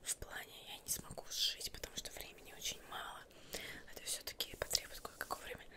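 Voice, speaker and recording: A woman's voice: quick pace, lightly acted. A busy witch tailor, played by a young woman, whispers close to the mic in an ASMR roleplay. She is proud and a bit scatterbrained, hesitating and muttering words over again to herself as she works. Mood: fussing, helpful. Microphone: close microphone.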